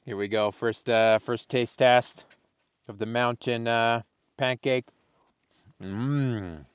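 A man in his late twenties, cold, vlogging from inside a small tent on a snowy summit in a thoughtful, candid, self-deprecating way, with halting restarts. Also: mid-range voice, fairly even intonation, clean tone, dry recording. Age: late 20s. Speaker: outdoor filmmaker. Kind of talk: casual reflective vlog narration.

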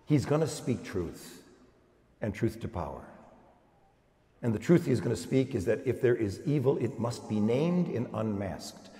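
An older American man, a priest preaching a sermon in an emphatic, deliberate way with repeated phrasing. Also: medium pitch, vocal fry. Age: older, around 70s. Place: large church, speaking from the pulpit. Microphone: pulpit gooseneck microphone. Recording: reverberant church hall.

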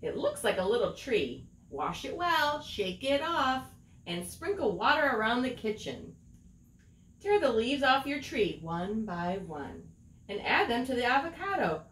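A middle-aged woman reading a children's picture book aloud in an expressive storytelling manner, drawing out words. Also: fairly high pitch, measured pace, slight background noise. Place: small room at home, indoors. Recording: roomy home recording.

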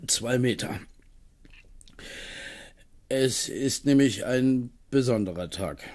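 An actor in a radio play voicing a wry, musing man with an artificial leg, speaking in a halting way. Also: medium pitch, lively intonation, a little mellow. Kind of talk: 1970s radio play acting.

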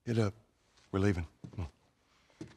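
An actor playing a gruff, guarded middle-aged survivor in a video game, with a gravelly Southern US drawl and a terse, stern manner. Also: male voice, low-pitched, a little slow, dark tone, slight background noise. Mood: angry, urgent. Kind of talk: cinematic video game drama acting. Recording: dramatic game score behind dialogue.

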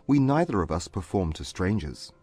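An actor playing a reserved, haughty gentleman with formal, stiff manners in a dramatized audiobook. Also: sits low, very animated, normal speed, husky, faint music behind, heavily acted. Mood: courteous.

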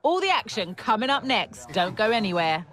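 A woman's voice: medium pitch, very animated, moderate pace, a bit bright. A woman playing a TV football presenter, delivering scripted pre-match commentary in a dramatic, hyped, emphatic way. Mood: excited anticipation. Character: excited female TV football presenter hyping matches. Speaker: sports commentator. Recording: clear stadium ambience behind voice.

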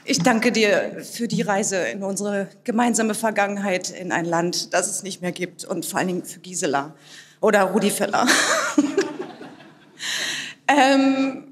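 A woman in her forties accepting an award on a theatre stage at a stand microphone, thanking people in an excited, breathless way with many fillers and laughs. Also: medium pitch, a little slow. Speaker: award winner giving acceptance speech. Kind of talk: emotional thank-you speech. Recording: long hall reverb with audience noise.

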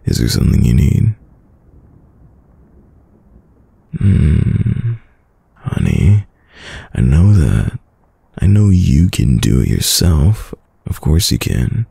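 A man playing a caring, worried boyfriend in an intimate ASMR roleplay, with a deep, gravelly, husky voice and a soft, soothing manner. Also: big pitch swings, dry recording, heavily acted. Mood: tender, concerned. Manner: soft, slow, soothing, hesitant. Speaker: audio roleplay voice actor.

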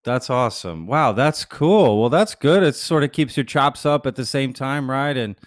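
A man's voice: medium pitch, expressive, moderate pace, no room sound. A man in his thirties hosting a podcast interview, chatting casually and enthusiastically with plenty of fillers, recorded on a large studio mic. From grew up bilingual, mother from Mexico City. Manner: enthusiastic, lots of fillers and repeated "that's cool". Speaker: podcast host. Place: small studio set. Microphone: large mic on boom arm.

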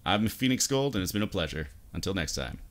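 A man in his thirties giving a casual, informal software tutorial, explaining step by step at a close desk microphone. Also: low voice, animated, fairly quick. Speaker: tutorial host. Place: indoors at a computer desk.

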